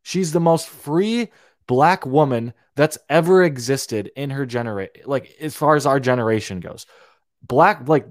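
A man's voice: medium pitch, measured pace, no echo, studio quality. A young man, born in Romania, hosting a podcast in a heated, swearing rant full of restarts and repeated words. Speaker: podcast host. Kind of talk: opinionated monologue.

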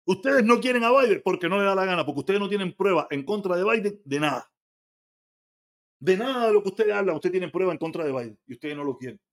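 Cuban man, high voice, blunt live-stream host, argues with chat, swears. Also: lively intonation, fast. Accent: Cuban Spanish. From Cuba. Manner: blunt, argumentative, swears freely.

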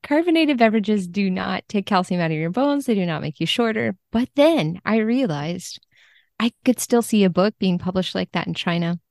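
A woman chatting casually as an interview guest, telling things in an animated way and acting out quoted thoughts. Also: mid-range voice, moderate pace, gravelly, dry recording, clean studio sound. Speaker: podcast guest, book translator.

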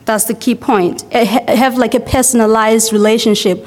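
A woman's voice: a little high, fairly even intonation, moderate pace, a little mellow. Zimbabwean young woman, reverberant church hall. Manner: chatty, many fillers like "like" and "uh". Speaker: church member giving a testimony. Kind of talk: casual spoken testimony to a congregation.

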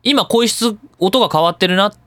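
A man's voice: sits high, a little fast, pushed and bright, dry recording. A man hosting a radio talk show, explaining things casually and politely with self-deprecating jokes, recorded in a studio. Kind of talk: casual solo talk show on audio production.

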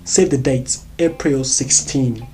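A Nigerian-accented vlogger giving casual movie recommendations in a chatty, excitable way, laughing often and repeating words. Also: mid-range voice, takes it slowly, music in the background.

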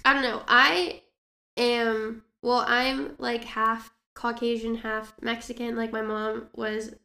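A young woman chats casually in an echoey room. Her voice is a little high but very level in pitch, with noticeable vocal fry. Her phrasing is informal and halting. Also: little pitch movement, bright, forceful tone. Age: young adult. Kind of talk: casual chat.

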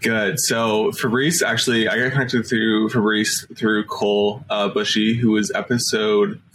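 A man's voice: fairly low pitch, little pitch movement, a little slow, fairly bright tone, slight background noise. A man in his twenties hosting a podcast, friendly and enthusiastic, with frequent fillers. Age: 20s. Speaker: podcast host.

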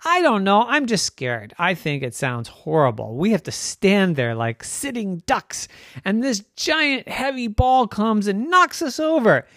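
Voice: mid-range voice, sing-song, unhurried, a bit bright, no echo, clean studio sound, pitched above the performer's own voice. A storyteller voicing a timid, scared baby bowling pin who stammers and pleads. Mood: fearful. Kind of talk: storybook voice acting.

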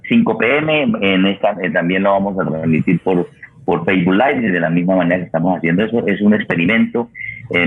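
A very low-voiced Colombian man hosts a radio programme with a rough, husky tone. He makes announcements off the cuff, halting and repeating himself as he looks for words. The sound is narrow, as if over a phone line. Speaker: radio theatre programme host. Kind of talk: unscripted sign-off and announcements. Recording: muffled, phone-like radio connection.

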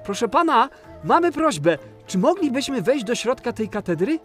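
A performer playing an excitable, mischievous little boy in a children's audio play, eagerly blurting things out. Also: mid-range voice, moderate pace, soft background music, close to an everyday voice. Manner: eager, blurting questions and exclamations.

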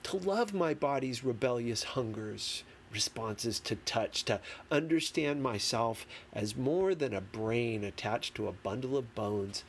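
A man reading a memoir as an audiobook, in a deliberate, emphatic manner with dramatic pauses. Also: mid-range voice, animated. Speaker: audiobook reader. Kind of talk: expressive scripted narration of a memoir. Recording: clearly noisy background.

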